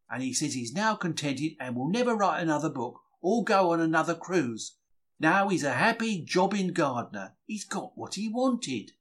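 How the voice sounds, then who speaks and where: A man reads a humorous diary aloud as a storyteller. His voice sits a little high and swings widely in pitch, with colloquial, characterful phrasing. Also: fairly bright tone. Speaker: audiobook reader. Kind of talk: dramatic reading of a humorous diary.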